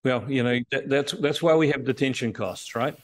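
A man's voice: mid-range voice, slight background noise. An older man joining a podcast by video call as a guest, explaining at length with frequent restarts. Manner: measured, explanatory, many restarts and fillers. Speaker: guest, tech company CEO. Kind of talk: casual interview chat. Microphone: remote video call.

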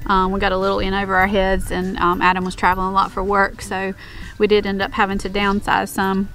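A woman's voice: medium pitch, completely flat delivery, brisk, fairly bright tone, soft background music. Chatty woman filming outdoors on a farm. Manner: rambling, many fillers and restarts. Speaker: homestead vlogger. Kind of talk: casual chat. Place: outdoors on a rural homestead.

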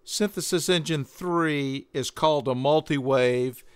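An older man giving a measured, methodical instructional demonstration as a tutorial presenter. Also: on the high side, loud music behind the voice.

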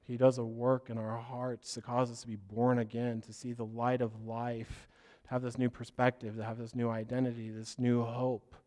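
Hoarse male pastor, scratchy voice, mid-pitched, earnest and rambling sermon delivery. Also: little pitch movement, normal speed, a little mellow. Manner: earnest, loses his train of thought and apologizes. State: hoarse from a cough.

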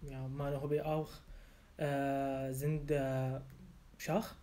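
A man in his twenties from Syria with an Arabic accent, speaking haltingly with many fillers and restarts as a candidate in a practice language exam, in an office room. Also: medium pitch, little pitch movement, dark tone. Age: 20s. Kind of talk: simulated oral language exam.

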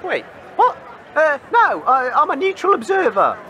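An actor playing an apologetic roving reporter in a comic audio drama, hesitant and embarrassed. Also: male voice, very high-pitched, wildly swooping pitch, normal speed, sharp tone, a faint crowd, slight background noise, full character voice. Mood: dismayed.

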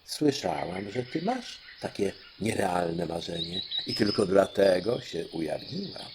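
A regal storybook fairy queen, acted by a man, speaks with slow, deliberate poise. The lines swing widely in pitch and stay composed and theatrical, over faint background noise. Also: big pitch swings, heavily acted. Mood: regal, calm. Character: fairy queen granting royal children's dreams, female, composed. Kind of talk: audiobook storytelling.

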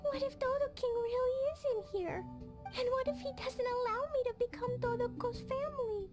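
A woman voicing a sweet, innocent, excitable little elf girl with a childlike manner in an anime game. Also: very high-pitched, moderate pace, music in the background. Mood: worried, timid. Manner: childlike, bubbly. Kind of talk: scripted anime game dialogue.

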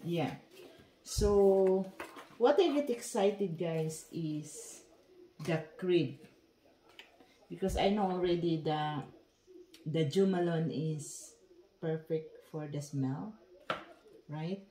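Woman, native tagalog speaker, chatty, slow, mellow voice, echoey room. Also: sits low, slight background noise. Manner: chatty, giggly, broken English. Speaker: vlogger. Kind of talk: casual unboxing chat. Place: dining room at home.

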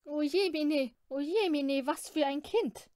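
A woman's voice: high-pitched, slow, dark tone, voice pushed up. A woman voicing an exasperated farm maid from a storybook, lamenting a naughty child with dramatic wailing.

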